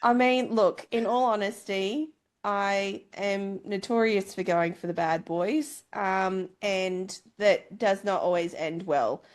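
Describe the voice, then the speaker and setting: A woman's voice: mid-range voice, slow. A woman co-hosting a casual chat show, bantering in a cheeky, candid and playful way. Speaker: book club podcast co-host.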